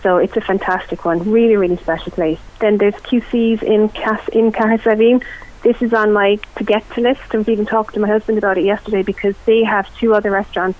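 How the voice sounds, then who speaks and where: A woman's voice: medium pitch, fairly even intonation, fairly quick. A middle-aged Irish woman giving an enthusiastic, chatty food report on the radio. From Ireland. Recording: tinny phone line.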